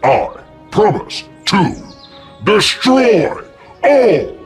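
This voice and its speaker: Very slow, bombastic robot president. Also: medium pitch, big pitch swings, faint music behind. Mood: menacing. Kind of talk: video game voice acting.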